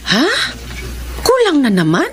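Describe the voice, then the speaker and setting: A woman's voice: high voice, huge pitch swings, a little slow, heavily acted. A woman with a Filipino accent playing a stubborn, lovestruck widowed mother in a radio drama. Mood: complaining. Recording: faint background noise.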